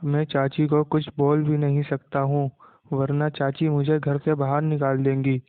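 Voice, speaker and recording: A man's voice: on the high side, completely flat delivery, unhurried, clean tone, dry recording, natural voice. A man playing a wronged, worried village boy in a cartoon moral tale, with a plaintive, dismayed manner. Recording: muffled, narrow phone-like sound.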